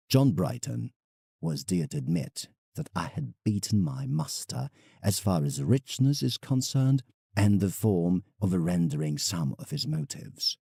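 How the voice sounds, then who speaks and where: An actor playing an ambitious, self-mocking painter, narrating an audio drama in a dramatic, confessional way. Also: male voice, fairly low pitch, gravelly, mellow, slightly rough, no room sound, studio quality, full character voice. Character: proud, ambitious, self-mocking British landscape painter writing letters.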